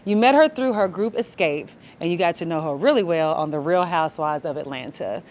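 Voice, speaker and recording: A woman with a fairly low voice hosts a casual interview podcast. She comes across as upbeat and affirming, chatting in an informal, conversational way. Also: expressive, fairly quick, a little mellow, slight background noise. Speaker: podcast host. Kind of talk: casual celebrity interview chat.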